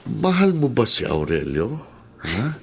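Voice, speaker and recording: A man's voice: medium pitch, wildly swooping pitch, a little slow, vocal fry, a little hiss or hum, full character voice. A man playing a brash, jealous, clownish lover in a Filipino radio drama, with a mocking, exclamatory delivery. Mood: jealous, insistent.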